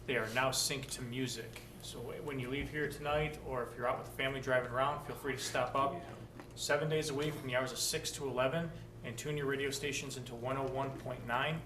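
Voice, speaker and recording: A man's voice: medium pitch, fairly even intonation, brisk. A man giving an upbeat department director's report at a council meeting. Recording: distant mic in echoey chamber with noise.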